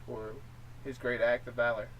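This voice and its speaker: A man's voice: mid-range voice, monotone, normal speed, highs cut off. A man co-hosting a film review show, chatting casually and explaining his opinions. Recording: distant mic, faint room noise.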